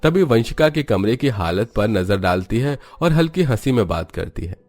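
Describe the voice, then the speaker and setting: A man's voice: mid-range voice, very animated, moderate pace, a little mellow. A man narrating a scripted cartoon family story as a storyteller.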